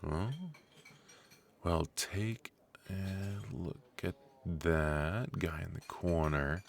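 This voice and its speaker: A man acting a friendly, chatty extrovert at a coffee shop mixer in an ASMR audio roleplay, conversational and reassuring, pausing for an unheard listener. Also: low voice, gravelly, a little hiss or hum, full character voice. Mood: quietly musing. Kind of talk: ASMR roleplay, casual one-sided conversation.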